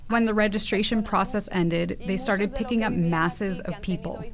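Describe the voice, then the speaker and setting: A woman's voice: mid-range voice, moderate pace. A woman giving a translated interview account as a documentary voice-over. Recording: busy crowd sound behind voice.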